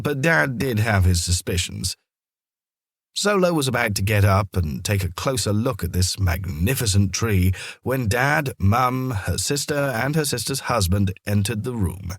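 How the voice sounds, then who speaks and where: A man narrating a children's story in an expressive, scripted storytelling style. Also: fairly low pitch, breathy edge, no echo, clean studio sound.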